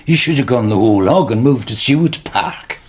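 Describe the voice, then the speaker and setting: A man's voice: mid-range voice, expressive, fairly quick, slightly rough. An actor playing a blunt, joshing old man. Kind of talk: radio drama acting.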